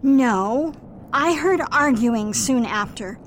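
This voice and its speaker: A woman's voice: fairly high pitch, lively intonation, slow, a little hiss or hum. A woman playing a suspicious, disapproving mother in an audio drama, stern and insistent in manner.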